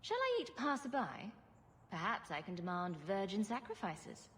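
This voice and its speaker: A woman's voice: medium pitch, huge pitch swings, normal speed, a bit bright, slight background noise. A woman playing a sarcastic, joking adventurer in a video game, with a wry delivery. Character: sarcastic, humorous adventurer woman, quick with dry jokes.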